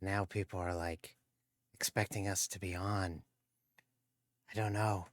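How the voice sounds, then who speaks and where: A man's voice: low-pitched, level tone, measured pace, no room sound, clean studio sound. A man hosting a sim racing live stream, chatting casually and rambling, tripping over words and joking at himself. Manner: rambling, self-deprecating, trips over words.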